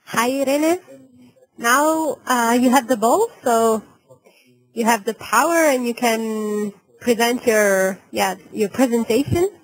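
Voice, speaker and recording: A woman's voice: on the high side, slow, low-quality recording. A woman casually moderating an online webinar call.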